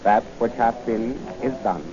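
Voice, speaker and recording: An actor playing a courtly, philosophical restaurant keeper who speaks in formal, flowery phrases, in 1940s radio style on an old recording. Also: male voice, medium pitch, a little slow, close to an everyday voice. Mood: solemn, gracious. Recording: dull 1940 radio recording with crowd noise.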